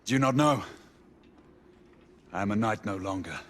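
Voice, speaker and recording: Low, intense, grim ex-knight. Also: male voice, expressive, moderate pace, a bit bright, slight background noise. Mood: grave resolve. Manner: terse, intense, solemn. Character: grim, determined former knight, stubborn and honour-bound. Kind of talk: dramatic video game cutscene acting.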